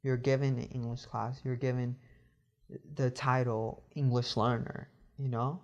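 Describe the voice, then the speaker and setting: Young man, mid-pitched voice, earnest, reflective, conversational. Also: little pitch movement, unhurried, muffled recording. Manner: earnest, thinking aloud with "you know". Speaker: interviewee. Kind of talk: reflective personal account.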